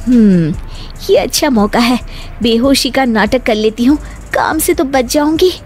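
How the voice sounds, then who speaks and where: A lazy young wife in a cartoon story who mutters sly plans to herself, as a woman voices her. Her voice is high and lively in pitch. Faint music plays under it. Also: a little slow. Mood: scheming. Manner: sly, scheming asides.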